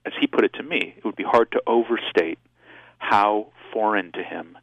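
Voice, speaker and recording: A man born in Israel and raised in the United States, speaking, gives measured, reflective answers as an author in an interview, with frequent restarts and ums. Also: lowish voice, fairly bright tone, no room sound, lo-fi sound.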